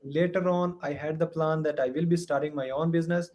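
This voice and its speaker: A man from India with a mild Indian accent, practicing English earnestly over a video call, pausing often with "uh". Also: fairly high pitch, fairly even intonation, darkish tone. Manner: earnest, careful, with many "uh" pauses. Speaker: student, software entrepreneur.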